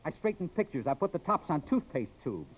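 A man's voice: fairly high pitch, sing-song, brisk. An American man playing a cocky, bossy, sardonic newsman with glib, insistent delivery in a 1950s radio drama. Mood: smug, glib. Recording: muffled old 1950s radio broadcast.

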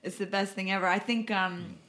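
A woman's voice: mid-range voice, steady tone, a little fast, a bit bright. A woman hosting a podcast, chatting casually and bluntly with plenty of swearing. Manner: informal, blunt, expletive-laden. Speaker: podcast host.

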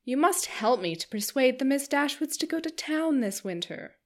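A woman reader voicing a cheerful, giddy young wife who gushes in quick, eager chatter. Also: sits high, big pitch swings, pitched above the performer's own voice. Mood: gushing. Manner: gushing, breathless chatter.

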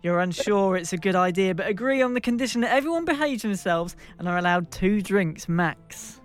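A man from England narrates a comic football adventure as its game master, his voice pitched high and a little hoarse. He builds each twist with mock drama and lands the punchlines hard. Faint music sits underneath. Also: lively intonation, brisk. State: slightly hoarse. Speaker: podcast co-host and game master.

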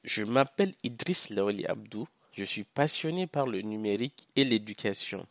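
A young man from Niger, a Hausa speaker, giving a measured, earnest first-person account on a podcast with occasional hesitant fillers. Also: fairly low pitch, expressive, vocal fry, no room sound. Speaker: podcast guest, social entrepreneur. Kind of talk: first-person podcast testimony, partly read.

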